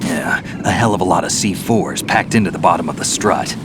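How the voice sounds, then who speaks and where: A man's voice: low voice, a little fast, background noise, natural voice. A man playing a cool, pragmatic seasoned soldier in a video game cutscene, talking in a terse, matter-of-fact way. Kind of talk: dramatic game cutscene acting. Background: background music.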